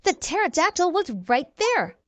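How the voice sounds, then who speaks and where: Woman voicing an excitable high-pitched little boy hero. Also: moderate pace, no room sound, heavily acted. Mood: excited. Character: excitable, eager young boy superhero.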